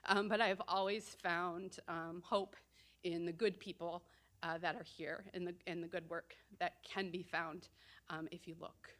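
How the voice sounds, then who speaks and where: A woman, a doctoral student and outdoor educator, giving an award acceptance speech, often pausing on um and uh. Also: fairly low pitch, level tone, a bit bright. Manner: frequent ums and uhs.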